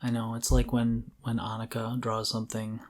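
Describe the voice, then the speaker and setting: A man's voice: mid-range voice, monotone, moderate pace, darkish tone. A middle-aged man co-hosting a podcast, talking casually and reflectively as he thinks aloud. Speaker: podcast co-host. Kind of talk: casual conversational talk.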